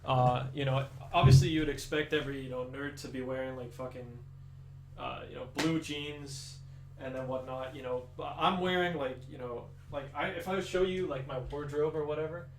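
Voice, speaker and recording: A man's voice: mid-range voice, moderate pace, a little hiss or hum, rough recording. A young Danish man with an American-leaning accent, chatting casually with viewers on a live stream and rambling with many restarts. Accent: Danish, aiming for American English. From Denmark.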